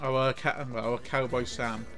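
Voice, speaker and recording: A man's voice: medium pitch, steady tone, measured pace, a bit bright, faint music behind. Welsh man, middle-aged, casual rambling game-stream chat. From Wales. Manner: rambling, many restarts and repeated words. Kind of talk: casual live-stream commentary. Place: home room.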